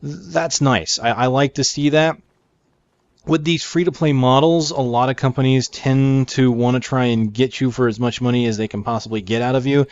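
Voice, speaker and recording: A man's voice: mid-range voice, fairly even intonation, normal speed, a little mellow, smooth, no room sound. A man hosting a gaming video, explaining things casually and in an upbeat way as he plays. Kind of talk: casual game preview commentary. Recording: dry, slightly dull microphone.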